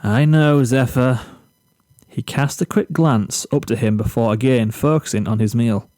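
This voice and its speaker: A man's voice: medium pitch, moderate pace, warm tone, no echo. A man reading scripted story narration.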